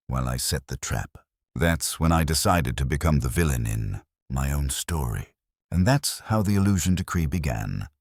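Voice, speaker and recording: A man narrating a scripted first-person story in a measured, dramatic storytelling manner. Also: a bass voice, no room sound, studio quality.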